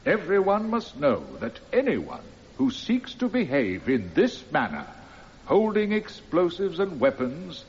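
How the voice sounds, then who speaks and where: Stern judge, radio drama: deliberate, authoritative, animated intonation, dull recording with faint hiss. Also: male voice, a little high. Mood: firm, severe. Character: stern, measured male judge passing sentence.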